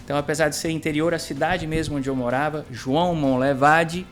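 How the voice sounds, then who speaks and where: A man's voice: a little high, fairly even intonation, brisk. Man, mineiro accent, pastor guest, chatty storyteller, faint music behind. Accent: Minas Gerais Brazilian Portuguese. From interior of Minas Gerais, Brazil. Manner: chatty storyteller, tags sentences with "né?". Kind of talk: casual chat interview.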